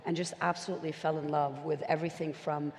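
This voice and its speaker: Low-voiced middle-aged woman in an echoing hall. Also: little pitch movement, quick pace, darkish tone. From Syria and Saudi Arabia. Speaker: investor, panel guest. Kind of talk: reflective storytelling on a panel. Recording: reverberant hall with background noise.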